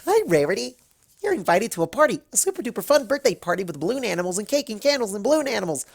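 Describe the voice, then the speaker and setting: A narrator voicing a hyper, bubbly young female cartoon pony who gushes excitedly and teases. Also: medium pitch, vocal fry, pitched above the performer's own voice. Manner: excitable, gushing, drawn-out teasing words.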